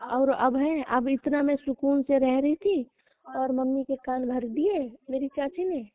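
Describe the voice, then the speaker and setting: A woman's voice: high voice, unhurried, no echo. Plaintive young woman on a tinny phone line. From rural Uttar Pradesh, India. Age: young adult. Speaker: girlfriend on a personal phone call. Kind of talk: casual intimate phone conversation. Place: phone call recording. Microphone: telephone.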